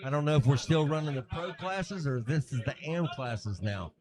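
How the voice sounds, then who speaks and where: A man's voice: a little high, lively intonation, normal speed. A man giving casual, folksy live commentary at a tournament, chatting with his co-host as the action goes on. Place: arm wrestling tournament venue. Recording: crowd noise behind.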